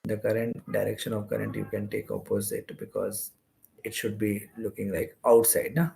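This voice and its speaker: A man's voice: lowish voice, flat delivery, moderate pace, vocal fry, darkish tone, a little hiss or hum, rough recording. A man with an Indian accent giving an explanatory exam-prep lecture as a physics teacher, going step by step and repeating phrases.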